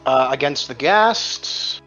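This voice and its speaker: A man's voice: mid-range voice, expressive, slow pace, bright, forceful tone, highs cut off. A man working as game master, talking casually and profanely through rules and narrating the action. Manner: colloquial, profane. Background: background music.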